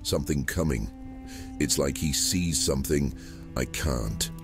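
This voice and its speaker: A man playing a secretive, burdened ancient djinn living in a vampire's body, gravelly, in a fantasy audio drama. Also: extremely low pitch, a little slow, music in the background. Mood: troubled. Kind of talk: immersive fantasy roleplay audiobook acting.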